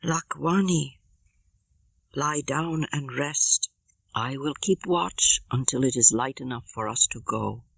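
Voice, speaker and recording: A woman's voice: very deep voice, slow pace, vocal fry, a bit bright, breathy edge, no room sound, exaggerated voice. A woman voicing a proud, protective East African warrior in an audiobook, solemn and formal. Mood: soothing. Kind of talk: audiobook character reading.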